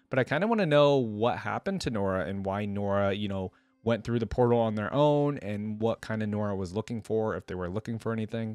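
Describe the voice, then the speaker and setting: A man's voice: mid-range voice, dry recording. A young adult man giving casual, chatty, enthusiastic commentary as a reaction host, rambling a little into a desk microphone. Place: small indoor room at a gaming desk. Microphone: desk microphone up close.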